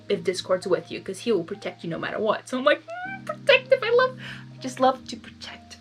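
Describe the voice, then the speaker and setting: A woman reading fan fiction aloud expressively, breaking into chatty, giggly, excitable asides. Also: mid-range voice. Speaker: story reader.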